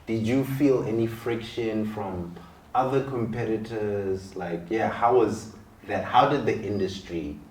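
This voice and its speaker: A man hosting a podcast interview, asking relaxed, chatty questions with fillers. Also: on the low side, measured pace. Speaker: podcast host. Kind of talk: casual interview. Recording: echoey room with faint noise.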